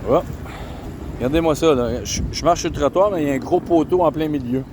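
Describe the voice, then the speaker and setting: A man's voice: a little high, a little fast. A man with a Quebec French accent, chatting casually to his camera while walking through city streets at night. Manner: chatty, points things out to viewers while walking. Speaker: travel vlogger. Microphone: handheld camera. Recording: outdoor street noise behind the voice. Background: traffic and street noise.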